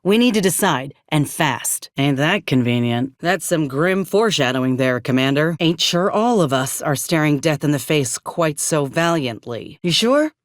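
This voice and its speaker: An actress voicing a frank, loyal frontier soldier in a video game. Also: female voice, low-pitched, expressive, moderate pace, hardly any pauses, no room sound, clean studio sound. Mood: urgent, wry. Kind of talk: scripted video game character dialogue.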